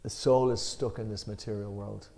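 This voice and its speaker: A man with an Irish accent leading a scripture discussion, reading a commentary aloud with emphasis and then explaining it in a relaxed way, with some fillers. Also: fairly low pitch, normal speed, vocal fry.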